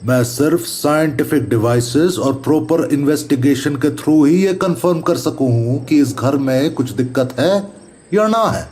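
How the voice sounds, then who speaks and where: A man playing a serious, methodical paranormal investigator in an audio horror drama, speaking deliberate rustic Haryanvi-Rajasthani Hindi. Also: fairly high pitch, darkish tone, close to an everyday voice. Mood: matter-of-fact, firm. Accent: Haryanvi-Rajasthani rural Hindi. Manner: deliberate, instructive. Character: methodical, serious male paranormal investigator, neighbourhood elder brother.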